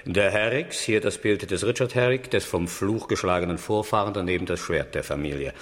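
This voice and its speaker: An actor playing a grave, anxious English lord of a haunted castle in a 1970s German radio drama, solemn and formal, with a deep, husky voice in a reverberant hall. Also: male voice, fairly quick. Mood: solemn, dignified.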